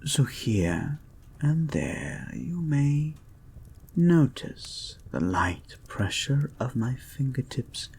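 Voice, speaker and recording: A man playing a soothing, possessive and gently controlling therapist in an intimate hypnosis audio roleplay, speaking in a soft, breathy, hushed and deliberate way. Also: mid-range voice, very animated, exaggerated voice. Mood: tender, clinical. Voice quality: soft, breathy, husky, often near a whisper. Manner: slow, hushed, deliberate, coaxing.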